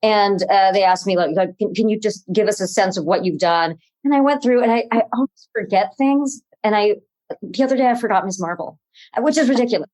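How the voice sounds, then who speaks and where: A woman's voice: medium pitch, brisk, darkish tone, poor audio. A woman actress chatting as a podcast guest, playful and self-mocking. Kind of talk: casual interview chat.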